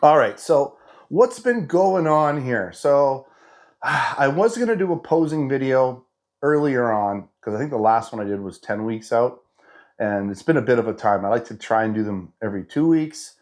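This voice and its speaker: A man's voice: mid-range voice, animated, normal speed. A man in his fifties with a head cold, his voice stuffed up and nasal, chatting casually in a fitness update vlog with lots of restarts. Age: 50s. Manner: chatty and rambling, with frequent restarts and "you know". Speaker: fitness vlogger.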